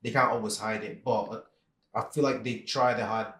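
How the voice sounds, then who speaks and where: A man in his thirties, from the Philippines, talking as a podcast guest in a relaxed, rambling way with many restarts. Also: fairly low pitch, normal speed, reverberant, a little hiss or hum. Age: 30s. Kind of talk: casual interview chat.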